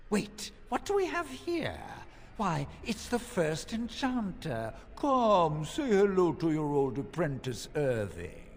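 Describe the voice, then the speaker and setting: Swooping, gloating mad mage villain. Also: male voice, sits high, gravelly, full character voice. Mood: mocking exasperation. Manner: pompous, mock-polite, melodramatic. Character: gloating mad mage turned abomination villain, theatrical and condescending. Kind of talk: fantasy game villain voice acting. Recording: long hall-like reverb.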